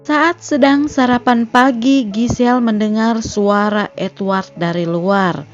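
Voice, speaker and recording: Slow-paced woman narrating, higher voice. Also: fairly even intonation, muffled recording. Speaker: film recap narrator. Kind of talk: scripted narration of a film plot. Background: background music.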